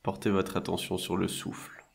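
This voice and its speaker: Man, guided meditation, soothing and measured, flat intonation, husky breathy tone, long pauses. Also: deep voice. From French-speaking Switzerland.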